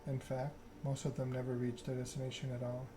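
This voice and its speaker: Man playing suave male vampire enforcer for a story game: calm threat, bored monotone, noisy ambient backdrop. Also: mid-range voice, fairly quick, natural voice. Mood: calm menace. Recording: clear background ambience noise.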